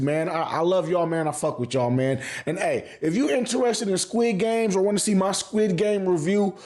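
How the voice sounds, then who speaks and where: A man's voice: sits high, a little mellow. A man giving casual, opinionated anime commentary as a vlog host, speaking in emphatic slang with frequent swearing and repeated phrases. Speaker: anime reviewer and channel host.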